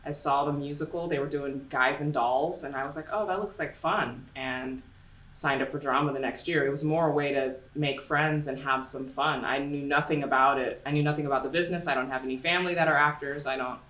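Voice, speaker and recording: Low, chatty actress in her forties from LA. Roomy, slightly distant studio sound. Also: a little fast. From Los Angeles, USA. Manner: chatty, animated, jumps into playful voices. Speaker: actress guest. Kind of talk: casual interview chat. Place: dark studio room. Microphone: studio condenser mic on stand.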